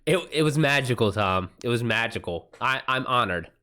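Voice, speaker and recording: A man's voice: mid-range voice, expressive, normal speed, pushed and bright. A man with an American accent chatting informally on a livestream as host, using slang and reacting aloud to viewer comments. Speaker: livestream host and self-published author. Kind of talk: casual livestream chat, answering viewer comments.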